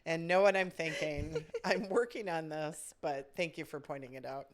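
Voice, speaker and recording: Congested, lowish-voiced woman chatting casually. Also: moderate pace, crowd noise. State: congested with a cold. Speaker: podcast co-host, camp director. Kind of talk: casual chat.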